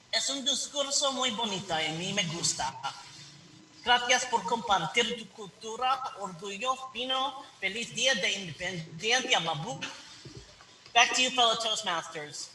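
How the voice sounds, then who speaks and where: A man giving a halting speech evaluation over a video call, often searching for words. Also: high-pitched, sharp tone, slight background noise. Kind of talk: online club speech evaluation. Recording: echoey room, distant mic.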